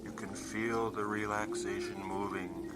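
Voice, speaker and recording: A man's voice: on the low side, level tone, a little slow. A man delivering a guided relaxation narration with music behind his voice. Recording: loud music under the voice. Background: loud music.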